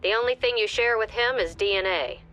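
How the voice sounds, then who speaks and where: A composed female agency director from a video game, voiced by a woman. She gives mission briefings in a measured, commanding way. Soft music plays beneath her voice. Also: medium pitch, close to an everyday voice.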